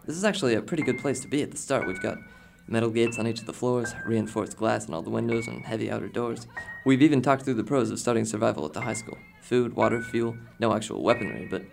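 A man's voice: medium pitch, fast talker, vocal fry, slight background noise. An actor playing a brainy, resolute fourteen-year-old boy who talks like a methodical, wordy planner in a radio drama. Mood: analytical, resolute. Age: teenager. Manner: formal, wordy, analytical.

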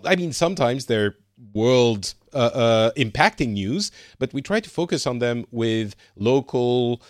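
A man's voice: medium pitch, no echo, studio quality. A man from France hosting a podcast in casual chat, rambling with many fillers and restarts. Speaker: podcast host.

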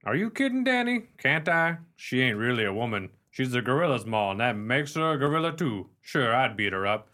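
A man's voice: medium pitch, sing-song, a little fast, hardly any pauses, pushed and bright. A man playing a brutal, bullying 1930s police detective in a pulp crime radio play, slangy and sneering. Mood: scornful.

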